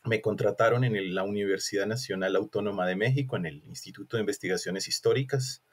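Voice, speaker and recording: A man's voice: lowish voice, fast talker, slightly rough. A man from Colombia, an academic giving conversational, discursive interview answers and often rephrasing himself. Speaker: academic researcher, interview guest.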